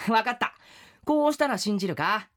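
Cocky, animated boyish yokai. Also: female voice, fairly high pitch, moderate pace. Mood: smug. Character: cocky, breezy boyish rain yokai posing as schoolboy. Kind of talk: audio drama acting.

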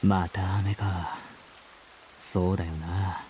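An actor playing an earnest, wistful man who narrates his boyhood memories in a measured, reflective way, as in a radio drama. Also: low-pitched, flat delivery, background noise. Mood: wistful, subdued. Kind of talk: scripted first-person radio drama narration.

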